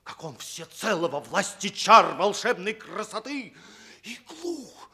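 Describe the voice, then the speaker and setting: An actor playing an anxious, devoted servant of a prince in a theatrical radio fairy tale, deferential and dramatic. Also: male voice, sits high, sing-song, slow pace, pushed and bright, husky, exaggerated voice. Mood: horrified, urgent.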